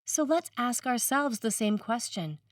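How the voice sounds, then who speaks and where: A woman's voice: on the high side, expressive, measured pace, dry recording, studio quality, distant mic. A woman priest reading a scripted sermon aloud.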